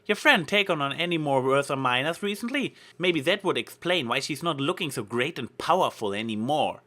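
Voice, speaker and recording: A man's voice: fairly high pitch, expressive, fairly quick, pushed and bright, pitched above the performer's own voice. A man voicing a brash, boastful young female flying pony in a story reading. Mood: teasing. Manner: cocky, teasing.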